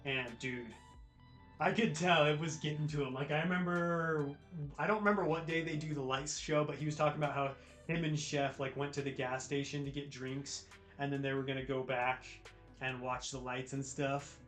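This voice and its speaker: A man's voice: fairly high pitch, fairly even intonation, normal speed, fairly bright tone. An American man hosting a livestream, chatting casually with viewers in a laid-back, slangy way. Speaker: livestream host. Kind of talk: casual chat with live viewers. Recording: roomy echo with music behind. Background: music, guitar.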